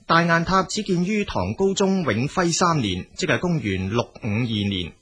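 A man with a Cantonese accent narrating a scripted story in a measured, expository manner. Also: medium pitch, a bit bright, breathy edge.

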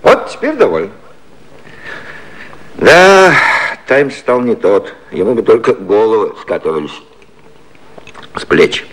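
A harried statesman in a vintage radio satire. His voice swings widely in pitch as he grumbles, hums and mutters in a theatrical way. It has the sound of a 1950s radio recording. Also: big pitch swings, slow pace, pauses often, fairly bright tone, heavily acted, poor audio. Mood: indignant. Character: harried, theatrical British prime minister in political satire. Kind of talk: 1950s radio play acting.

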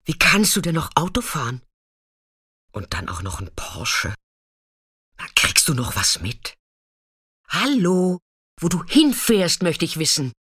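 A woman's voice: low voice, very animated, a little slow, long pauses, a bit bright, husky, dry recording, clean studio sound, exaggerated voice. An actress playing a tough, defiant street sex worker, telling her story in a blunt, colloquial radio drama monologue. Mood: alarmed, agitated. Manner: colloquial, blunt, swinging from intimate recollection to agitated outbursts.

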